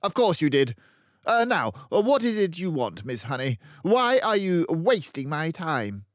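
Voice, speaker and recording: A man's voice: fairly high pitch, sing-song, measured pace, no room sound, muffled recording, voice pushed up. A man voicing a tyrannical, bullying headmistress who barks and bellows in fury, in a children's storybook reading. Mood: barking, angry. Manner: barking, bellowing, snorting contempt. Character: tyrannical, furious, bullying headmistress, a huge middle-aged woman. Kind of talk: children's audiobook character voice.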